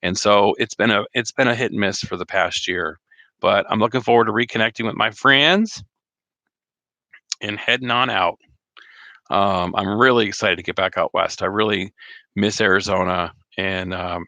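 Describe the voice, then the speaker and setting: A slightly hoarse man chatting casually on a live stream, rambling with many fillers and restarts. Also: fairly low pitch, normal speed, pushed and bright, no room sound. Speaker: RV vlogger hosting a live chat.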